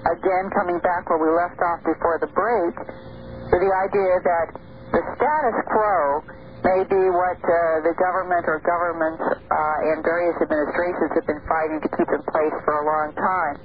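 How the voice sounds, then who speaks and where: A woman guest on a radio talk show, explaining her views at a measured pace with pauses in mid-sentence. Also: on the low side, fairly even intonation. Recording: tinny lo-fi radio broadcast, faint hiss.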